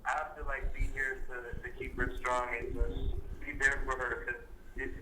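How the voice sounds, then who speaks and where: A man calling in to a podcast by phone, talking haltingly with many fillers and restarts. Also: mid-range voice, little pitch movement, bright, forceful tone. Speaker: caller. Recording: noisy phone line.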